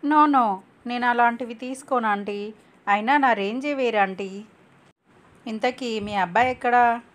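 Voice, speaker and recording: A woman plays a boastful young city woman from a cartoon moral tale. She talks haughtily, dropping English words to sound stylish, with a pitch that often lifts upward. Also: unhurried, natural voice. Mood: haughty, demanding. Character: young modern fashionable city woman, boastful, brash, English-sprinkled speech. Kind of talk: cartoon moral story voice acting.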